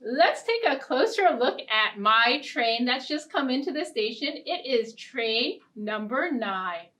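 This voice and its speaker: A young woman hosting a storytime for small children, speaking in simple, deliberate phrases. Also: on the high side, sing-song, a bit bright. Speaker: children's library storytime host. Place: indoor storytime set. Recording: distant mic, ukulele music. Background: ukulele strumming.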